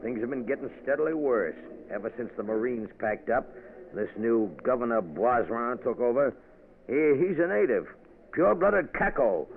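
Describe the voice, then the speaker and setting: A man's voice: medium pitch, expressive, moderate pace, vocal fry, slight background noise. An actor playing a brash, slangy American insurance man in an old radio drama. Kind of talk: 1940s radio acting. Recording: tinny old radio broadcast.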